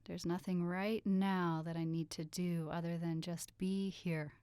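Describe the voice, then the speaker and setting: A woman leading a guided relaxation meditation with soothing, gentle instructions and unhurried pauses. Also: on the low side, level tone, clean tone, dry recording. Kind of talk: guided meditation, yoga nidra.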